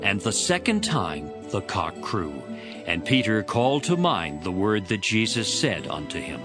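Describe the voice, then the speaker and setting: A man's voice: lowish voice, very animated, measured pace, music in the background. A man narrating dramatized scripture as a storyteller between the character parts.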